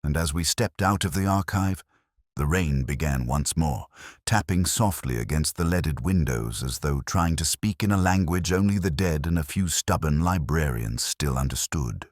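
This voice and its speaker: A man narrating a scripted first-person detective story in a measured, literary, brooding way. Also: very deep voice, lively intonation, no echo, clean studio sound.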